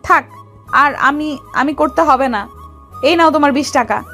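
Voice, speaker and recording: A woman's voice: high-pitched, a little slow, pushed and bright, exaggerated voice. A woman playing a bossy, short-tempered young wife in an audio story. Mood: mocking scolding. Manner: commanding, scolding. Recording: music behind the voice.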